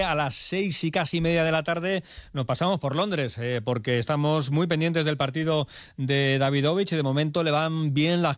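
A man's voice: on the high side, no room sound, clean studio sound. A man with an accent from Spain hosting a sports radio show in a conversational style.

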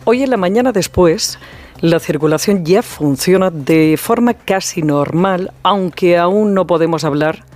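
A man's voice: sits high, measured pace, a little mellow, no room sound, soft background music. A man with a Castilian Spanish accent anchoring a news programme. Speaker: radio news presenter. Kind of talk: news presenting.

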